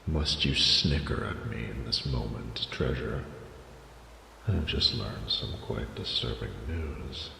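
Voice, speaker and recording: An ancient silver dragon rumbles extremely low in a romantic audio roleplay, vain and flirtatious. He speaks slowly in grand, archaic phrases. The voice rings through a long, cavernous reverb. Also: bright, forceful tone, heavily acted. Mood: baffled, affronted. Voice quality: gravelly. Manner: pompous, archaic, deliberate formal speech. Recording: roomy cavernous reverb with noticeable noise.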